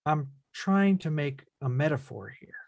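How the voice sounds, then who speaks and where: An earnest, frustrated grown son played by a man, with a slightly high voice. The sound is close and dry, and the delivery is naturalistic drama from a script read. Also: expressive, measured pace, vocal fry, a little mellow, dry recording. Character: frustrated, earnest adult son confronting his father. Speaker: actor in a screenplay table read.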